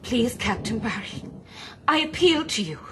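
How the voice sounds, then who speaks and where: A woman's voice: on the high side, sing-song, unhurried, bright, forceful tone, slight background noise, exaggerated voice. An actress playing a proud, distressed aristocratic lady with formal, impassioned speech in a period radio drama. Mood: distressed pleading.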